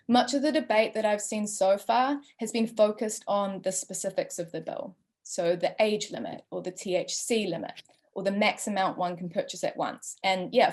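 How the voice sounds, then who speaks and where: A young woman with a New Zealand accent introduces an online panel in an earnest, conversational way. She fills pauses with frequent ums as she talks. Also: medium pitch, a little mellow. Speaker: panel host. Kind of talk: webinar introduction and opinion.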